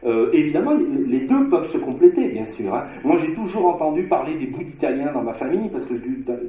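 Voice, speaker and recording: Man lecturing, dark voice in an echoey hall. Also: on the high side, sing-song, highs cut off. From Marseille, France. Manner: discursive, frequent filler pauses and restarts. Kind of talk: academic conference lecture.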